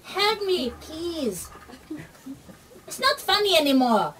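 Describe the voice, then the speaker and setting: A woman's voice: extremely high pitch, big pitch swings, takes it slowly, fairly bright tone, distant mic, slight background noise, exaggerated voice, low-quality recording. A lost, whimpering little girl from a game. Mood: lost, pleading. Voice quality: plaintive, bewildered.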